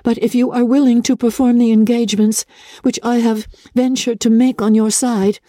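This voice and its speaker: A woman's voice: fairly high pitch, little pitch movement, normal speed, warm tone, no room sound, studio quality, close to an everyday voice. A woman voicing an earnest uncle's letter from a classic novel, formal, careful and businesslike.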